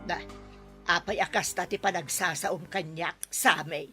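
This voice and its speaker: A woman's voice: lowish voice, steady tone, measured pace, over music, natural voice. A woman playing a domineering, scornful middle-aged mother in a radio drama, scolding and haughty with a Filipino accent. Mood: indignant, stern. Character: domineering, scornful mother who rejects her son's girlfriend. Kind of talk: Ilocano radio drama acting.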